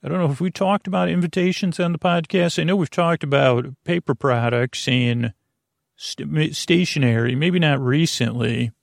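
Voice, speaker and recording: A man with a heavily creaky, fry-laden voice delivers a rambling bedtime monologue as a podcast host. He drifts off on tangents, stops and starts, and stumbles over words. The sound is dry, with no room echo. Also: a little high. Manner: meandering, halting, digressive, stumbling over words.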